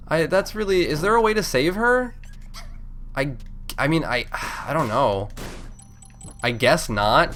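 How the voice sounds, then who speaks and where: A man's voice: mid-range voice, fairly bright tone. A man with a congested, stuffed-up voice giving casual, rambling video game commentary, often interrupting himself and swearing freely. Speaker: let's play host. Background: video game music.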